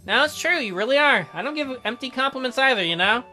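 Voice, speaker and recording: A man voicing a shy, gentle, awkward doctor in a visual novel, with a hesitant and mild manner. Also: very high voice, expressive, fast talker, soft background music, heavily acted. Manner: hesitant, mild, softly apologetic.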